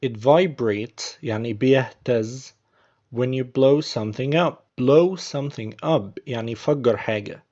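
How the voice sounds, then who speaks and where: Egyptian guy teaching english phrases, mellow low-key voice, kinda dull lo-fi audio. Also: slow pace. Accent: Egyptian Arabic. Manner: patient, explains word by word. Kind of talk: explaining English phrases in Arabic.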